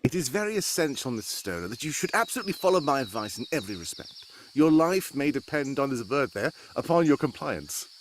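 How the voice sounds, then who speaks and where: An actor playing a shrewd, inquisitive gentleman detective in a live 1940s-style radio mystery, theatrical and given to wry asides. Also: medium pitch, sing-song, fairly quick, hardly any pauses, soft background music, natural voice. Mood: grave, urgent.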